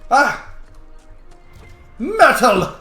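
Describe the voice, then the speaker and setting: A man's voice: very high voice, huge pitch swings, extremely slow, sharp tone, roomy sound, faint music behind. A man giving casual, chatty gameplay commentary, exclaiming and swearing as he plays. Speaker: let's player narrating gameplay.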